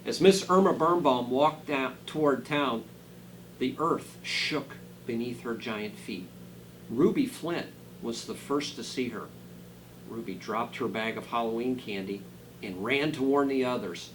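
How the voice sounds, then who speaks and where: A man reading a children's storybook aloud dramatically, dropping into quieter asides to his listeners. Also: medium pitch, unhurried, a little hiss or hum, poor audio. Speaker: school superintendent reading a picture book aloud.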